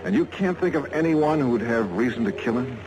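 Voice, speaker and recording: A man's voice: mid-range voice, animated, fairly quick, a crowd in the background, lightly acted, rough recording. An American man playing a shrewd, wry private insurance investigator in a 1950s radio detective drama. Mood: probing.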